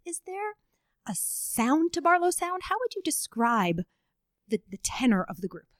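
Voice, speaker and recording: A woman's voice: sits high, very animated, a little slow, no room sound, clean studio sound. A woman interviewing a student group, upbeat and encouraging with frequent short affirmations. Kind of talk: casual interview.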